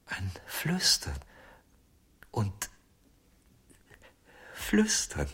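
Deep, breathy, haunted ventriloquist. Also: male voice, huge pitch swings, pushed and bright, heavily acted. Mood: haunted, entranced. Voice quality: husky, breathy, often near a whisper. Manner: halting, dreamy, intense storytelling. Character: haunted, obsessive male ventriloquist confessing to a detective. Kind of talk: German radio mystery drama.

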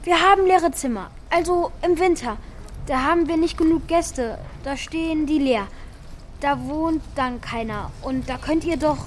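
A woman's voice: sits high, moderate pace, a little hiss or hum, natural voice. A woman playing an eager, thoughtful, kind-hearted schoolchild who acts and narrates in a children's radio play. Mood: hesitant, eager.

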